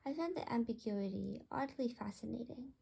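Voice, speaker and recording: A woman reads a story aloud expressively. Her voice sits high but is husky and gravelly, with a soft, mellow tone. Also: moderate pace. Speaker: author reading own book aloud.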